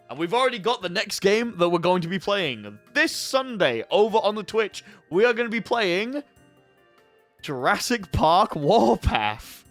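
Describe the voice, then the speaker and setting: Casting: young male gaming host, a bit higher voice, sick and slightly funky-sounding, laid-back, swears, light music underneath. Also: a bit bright. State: sick with a headache. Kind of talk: casual talk to camera.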